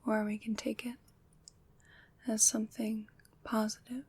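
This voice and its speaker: A woman playing a gentle, consoling witch in an ASMR roleplay, whispering breathily in a slow, soothing, deliberate way. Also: on the high side, little pitch movement, slightly rough, exaggerated voice. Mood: tender reassurance.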